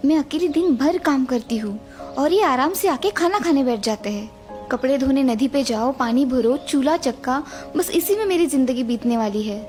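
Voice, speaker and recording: A woman's voice: sits high, expressive, moderate pace, darkish tone, faint music behind. A woman playing a fed-up young village wife. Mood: complaining. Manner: complaining, sarcastic.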